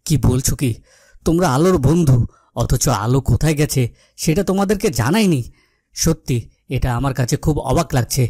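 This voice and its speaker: An actor playing a worried, protective cartoon king who speaks in a formal, measured, authoritative way. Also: on the high side, animated, mellow, breathy edge, no echo. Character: worried, protective fairytale king, stately and questioning. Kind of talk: dubbed cartoon fairytale acting.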